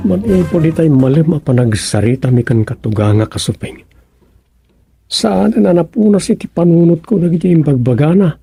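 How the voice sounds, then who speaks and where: A man with an Ilocano accent acting an exasperated, fretful village man in a radio comedy-drama, with a husky, gravelly voice. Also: fairly high pitch, animated, moderate pace, dark tone, faint music behind. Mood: troubled.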